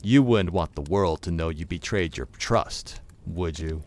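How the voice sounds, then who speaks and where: An actor playing a scheming, pompous crime boss with deliberate menace in an audio drama. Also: male voice, low-pitched. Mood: menacing. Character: smooth, scheming male criminal mastermind. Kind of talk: audio drama villain acting.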